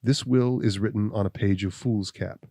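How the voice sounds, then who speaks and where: A man voicing a stern, implacable accuser who speaks in a deep, level voice, slow and measured. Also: fairly even intonation, dark tone, no room sound, clean studio sound.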